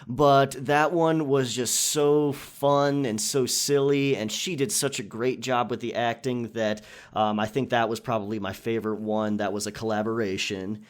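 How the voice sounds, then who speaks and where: A man's voice: mid-range voice, steady tone, normal speed, hardly any pauses. A man hosting a casual question and answer session for his listeners in a conversational, chatty manner. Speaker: audio roleplay creator and channel host.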